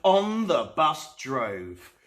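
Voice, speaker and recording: A man reading a children's storybook aloud as host, expressively and with dramatic pauses. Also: fairly high pitch. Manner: animated, unhurried, dramatic pauses.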